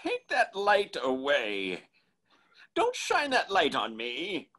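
A man's voice: a little high, wildly swooping pitch, slow pace, sharp tone, exaggerated voice. A man playing a frightened old man in a radio horror drama, stammering and pleading in alarm.

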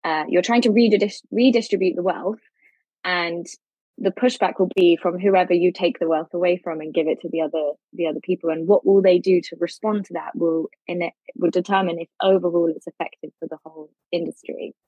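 A woman's voice: lowish voice, fairly even intonation, a little fast, mellow, slightly rough, no room sound, muffled recording. A woman legal expert on a podcast, thinking aloud as she explains the law, with frequent restarts. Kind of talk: podcast discussion.

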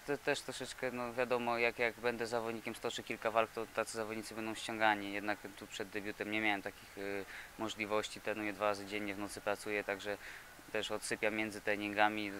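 A young man in his twenties with a bright, forward, slightly low-pitched voice, answering questions in a halting way with plenty of restarts. The sound comes from a handheld mic in a gym, with a faint hiss underneath. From Poland. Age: 20s. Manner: halting, many fillers and repeated words. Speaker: boxer. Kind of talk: interview answers. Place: indoor boxing gym. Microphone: handheld reporter mic.

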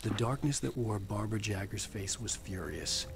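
An actor voicing a brooding thriller writer, giving grave, measured narration in a video game. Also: male voice, lowish voice, gravelly. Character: brooding male thriller writer telling his own dark story. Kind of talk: scripted noir narration. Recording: game audio with loud music behind.

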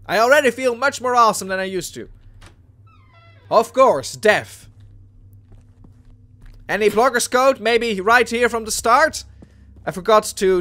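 Dutch-accented male gaming host, higher voice, talks through each move out loud. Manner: chatty, thinks aloud, reads game text aloud. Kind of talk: let's play commentary. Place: home room at a desk. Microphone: headset.

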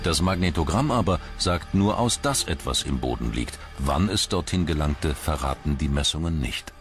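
A man's voice: extremely low pitch, lively intonation, moderate pace. A man reading scripted documentary narration as the narrator. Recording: music bed under the voice. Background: music.

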